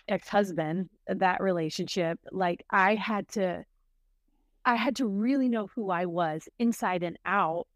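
This is a woman on a casual podcast, speaking in a somewhat low voice. She sounds reflective and gets passionate as she talks things through. The recording is close and dry, with no room sound. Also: no echo. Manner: reflective, warm agreement, passionate. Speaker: podcast co-host.

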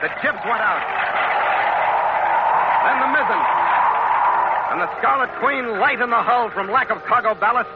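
Tough radio sea captain, noisy recording. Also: male voice, very high-pitched, normal speed, pauses often, muffled recording. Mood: brisk narration. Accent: American. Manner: clipped, dramatic, hard-boiled. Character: tough male sea captain of a sailing ketch. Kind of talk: 1940s radio adventure acting, first-person narration.

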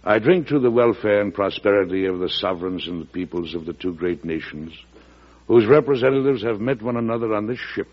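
An actor playing a hearty, shrewd middle-aged American president in an old radio drama, with a commanding, oratorical manner. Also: male voice, fairly low pitch, expressive, brisk, husky. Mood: solemn. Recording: muffled vintage radio recording.

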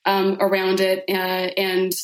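A woman's voice: mid-range voice, completely flat delivery, a little slow. A woman guest on a podcast explaining her views earnestly, often checking in with "right?" and "like". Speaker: podcast guest, sex coach. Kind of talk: casual explanatory conversation. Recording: roomy echoing sound.

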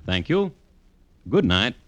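An actor playing an urbane, droll crime connoisseur who narrates old murders in a deliberate 1950s radio style. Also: male voice, mid-range voice, very animated, no echo, lo-fi sound. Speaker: host and narrator.

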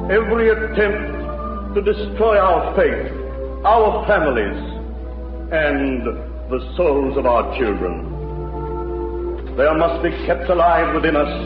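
A solemn, grieving preacher in a 1940s radio play. He speaks very slowly and gravely, like a formal orator. The old narrow-band recording rings with long reverb, with some music behind him. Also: fairly high pitch. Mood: fervent, pleading. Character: solemn, grieving male preacher in a conquered country. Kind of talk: 1940s radio drama sermon.

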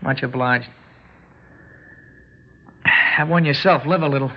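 A man's voice: medium pitch, slow pace. An actor playing a cocky young small-time gunman in a radio drama, with brusque, slangy tough-guy talk. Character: cocky, uneducated young male small-time stickup man. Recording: dull old radio recording.

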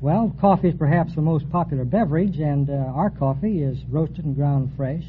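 Matter-of-fact American man, slightly high voice. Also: moderate pace. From California. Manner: brief, matter-of-fact answers. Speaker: quiz show contestant, door-to-door salesman. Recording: tinny, faintly noisy old radio recording.

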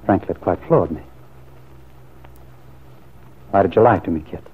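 A man's voice: low-pitched, moderate pace, breathy edge. An actor playing a suspicious, brooding man in a classic radio soap opera, earnest and probing, with hesitant phrasing. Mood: wounded. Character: suspicious, brooding lover pressing for the truth. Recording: muffled lo-fi vintage radio recording.

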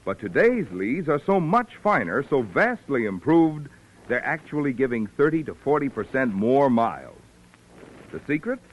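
Animated male vintage radio announcer. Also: on the high side, lo-fi sound. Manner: emphatic, polished sales delivery. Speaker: commercial announcer. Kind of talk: 1940s-50s radio sponsor read.

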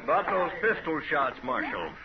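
A man's voice: a little high, sing-song, normal speed. A man with an American accent playing a fussy, appeasing frontier businessman, pleading in a 1950s radio drama style. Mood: placating. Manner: pleading, placating. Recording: muffled 1950s radio recording, crowd behind.

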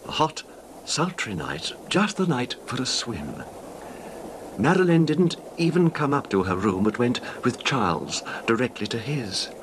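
Meek cuckolded husband, coolly sinister confession, hesitant genteel delivery, lively intonation, noisy vintage radio drama. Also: male voice, medium pitch, unhurried. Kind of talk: old-time radio drama acting.

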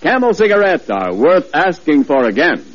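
A radio announcer with a fairly high, lively voice delivering a polished, emphatic sales pitch. It comes through a dull 1940s broadcast. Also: male voice, moderate pace.

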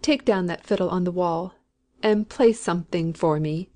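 A woman doing a dying old country woman in a storybook, slow and drawn-out, talking in a rough rustic way. she sounds sinful and scared of the dark, and she gives orders like she is used to being obeyed. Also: mid-range voice. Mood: sullen, imperious. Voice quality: rustic dialect, anguished pleading.

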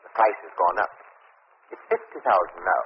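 Unctuous villain: genteel, smugly menacing, very animated, muffled old-time radio drama sound. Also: male voice, low-pitched, moderate pace, a little hiss or hum. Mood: coolly menacing. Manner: overly courteous, theatrical, unctuous. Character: suave, cadaverous male crime boss, mockingly genteel. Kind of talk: 1940s radio acting.

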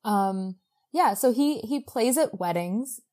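A woman's voice: on the high side, slow pace. A woman co-hosting a podcast, recapping a book in casual, exclamatory chat peppered with "like". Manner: animated, exclamatory, heavy use of "like". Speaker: podcast co-host.

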